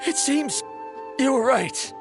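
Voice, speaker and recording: A woman's voice: high voice, animated, slow. An actress voicing an earnest young woman who hesitates and stammers while apologizing, in a video game. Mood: apologetic. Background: game music.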